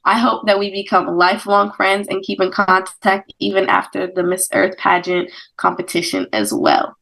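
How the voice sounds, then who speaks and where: A woman's voice: medium pitch, normal speed, vocal fry, fairly bright tone, poor audio. Composed woman from the Virgin Islands. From United States Virgin Islands. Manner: polished, composed. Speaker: beauty pageant contestant. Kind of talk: radio interview answer.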